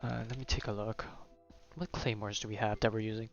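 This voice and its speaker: Man, lowish voice, casual game streamer, rambling commentary, thinking aloud, faint music behind. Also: fairly even intonation, brisk, highs cut off. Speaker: video game live streamer. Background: video game music.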